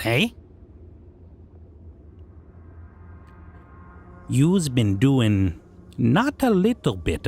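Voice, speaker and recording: Goblin king, civilized yet menacing, broken grammar, very drawn-out delivery, noisy fantasy audio roleplay. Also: lowish voice, a little mellow, exaggerated voice. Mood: menacing curiosity. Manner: broken grammar, musing hums and chuckles. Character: proud male goblin king of the north, civilized, amused, menacing.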